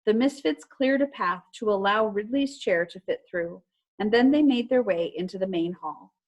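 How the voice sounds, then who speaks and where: A woman reading a children's story aloud like a teacher to a class, with animated dialogue and calmer narration, over a video call. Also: mid-range voice, moderate pace, poor audio.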